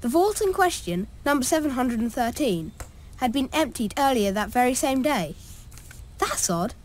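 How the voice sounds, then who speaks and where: Young boy wizard, high voice, earnest, defiant, lively, game character. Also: normal speed, slight background noise. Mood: curious. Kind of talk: video game character acting.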